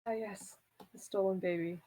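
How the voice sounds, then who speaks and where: A woman chatting casually on a stream, teasing and tossing in quick asides. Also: mid-range voice, fairly even intonation, mellow, low-quality recording. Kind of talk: casual chat.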